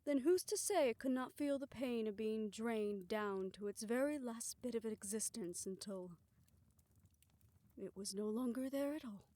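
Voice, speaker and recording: A woman performing a scripted audio roleplay, voicing several characters in a soft, hushed way that often drops to a whisper. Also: a little high, unhurried, dry recording.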